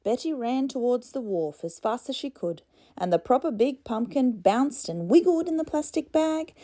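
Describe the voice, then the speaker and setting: A woman's voice: on the high side, lively intonation, normal speed, warm tone. A woman reading a children's storybook expressively. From Torres Strait, Australia. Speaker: storybook reader.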